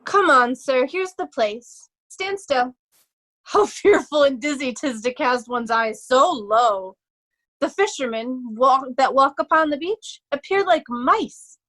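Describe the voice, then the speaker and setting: A woman's voice: sits high, animated, slow pace, a bit bright, no room sound, heavily acted, rough recording. A woman playing a young nobleman in peasant disguise, urgently and vividly guiding, in an amateur Shakespeare reading. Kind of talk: amateur Shakespeare reader's theatre.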